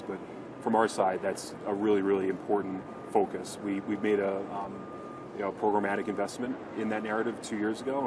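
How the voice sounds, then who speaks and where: A man giving earnest corporate sales talk as a company representative, in an informal business interview at a trade show. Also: lowish voice. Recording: clear show-floor background noise.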